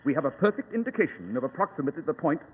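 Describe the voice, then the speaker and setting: An imperious British detective reasoning aloud in formal, exact phrases for an old radio mystery. His fairly high voice swings widely in pitch, over a thin, tinny vintage recording. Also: very animated, racing, breathy edge, long reverb tail. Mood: confident, deductive. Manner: pompous, exact, formal deduction. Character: Sherlock Holmes, brilliant, imperious, precise English detective. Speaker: actor in a radio detective drama. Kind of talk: 1940s radio acting.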